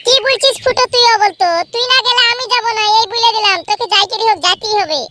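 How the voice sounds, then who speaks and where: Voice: very high-pitched, quick pace, very noisy. An actor voicing a bossy, childlike character in a comedy cartoon, scolding and childishly insistent. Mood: eager, coaxing.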